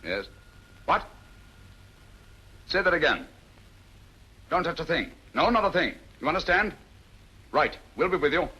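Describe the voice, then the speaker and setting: Shrewd british detective, old radio mystery, bright sharp voice, very animated pitch, crisp. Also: male voice, measured pace, long pauses, full character voice. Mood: urgent, commanding. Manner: crisp, incisive, authoritative. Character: brisk, shrewd English gentleman detective. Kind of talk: 1940s radio mystery acting. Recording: slightly noisy old radio recording.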